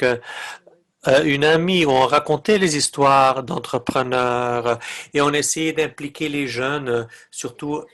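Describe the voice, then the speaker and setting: A man interpreting a webinar talk live, with a halting, phrase-by-phrase delivery. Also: mid-range voice, fairly bright tone.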